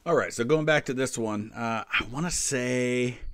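A man's voice: medium pitch, moderate pace, a bit bright, clean tone, dry recording, clean studio sound. A man in his forties giving casual, off-the-cuff commentary as a channel host, thinking aloud and explaining as he goes. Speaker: host of a history reaction channel.

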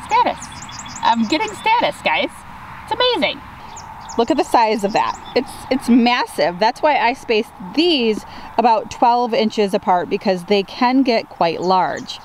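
A woman's voice: on the high side, big pitch swings, a little slow, a little hiss or hum. A woman in her thirties, a flower farmer from upstate New York, chatting upbeat to viewers as she walks her field outdoors with a handheld camera. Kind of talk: casual farm tour vlog. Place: outdoors in open farm field.

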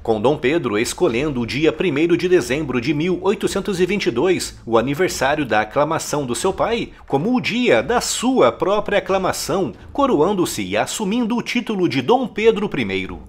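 A man reading a scripted history documentary narration in a measured, emphatic storytelling manner. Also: fairly high pitch, very animated, breathy edge.

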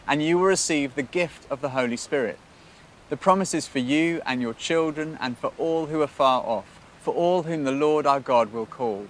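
Casting: British male presenter, thirties, earnest faith storytelling, measured phrases and pauses, music underneath. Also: mid-range voice, slight background noise. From Britain. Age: 30s.

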